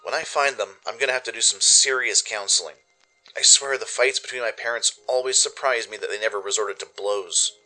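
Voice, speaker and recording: A man's voice: fairly low pitch, a little fast, pushed and bright, soft background music, rough recording. A man voicing an anxious, earnest young pony heroine in an audio drama, talking to herself in nervous self-doubt. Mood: uneasy. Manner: nervous, self-reassuring inner monologue. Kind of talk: first-person audio drama narration.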